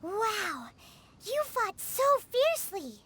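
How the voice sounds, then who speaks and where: A woman voicing a small, cheerful, childlike girl companion in a video game, squeaky and exclamatory. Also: sing-song, slow pace, fairly bright tone, slight background noise, full character voice. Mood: amazed, excited. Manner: refers to self in third person, exclamatory. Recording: music playing behind.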